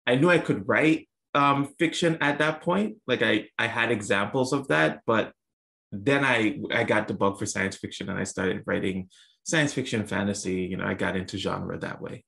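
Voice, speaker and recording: A man's voice: on the low side, moderate pace, gravelly, fairly bright tone. A young adult man from the US Virgin Islands, answering interview questions thoughtfully with many fillers and restarts. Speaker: author guest.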